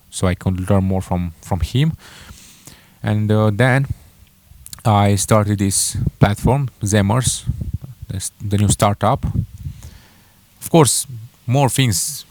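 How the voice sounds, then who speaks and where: A young man with a Romanian accent talks casually about his own path, often stopping and correcting himself mid-sentence. His voice sits very low. The sound is dry and close, with no room around it. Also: a little mellow, no room sound. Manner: halting, many fillers and self-corrections. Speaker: entrepreneur vlogger. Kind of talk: casual personal story to camera.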